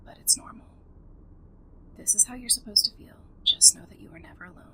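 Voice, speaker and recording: A woman acting a grief-worn but steadying survivor in an audio drama, speaking in a heavy, halting way. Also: on the high side, sharp tone, whispered, rough recording. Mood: tender, comforting.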